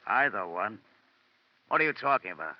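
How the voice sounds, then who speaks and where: Low-voiced, skeptical police lieutenant. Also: male voice, sing-song, normal speed, dry recording. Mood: skeptical, sarcastic. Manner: gruff, sarcastic questioning. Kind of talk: 1950s radio detective drama acting. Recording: muffled old radio broadcast.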